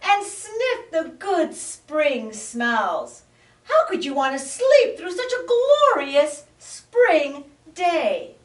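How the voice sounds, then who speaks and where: A woman voicing a tiny, loud, cheerful male frog who shouts in a sing-song way, in a storybook reading. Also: extremely high pitch, monotone, drawn-out words, long pauses, pitched above the performer's own voice, full character voice. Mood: exuberant. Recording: roomy, distant mic.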